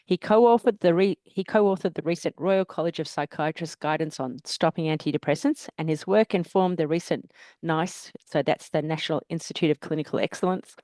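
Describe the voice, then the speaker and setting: A woman hosting a webinar over a video call, reading a prepared introduction in a measured way with small slips. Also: low voice, dark tone, no echo. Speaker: host, GP education advisor.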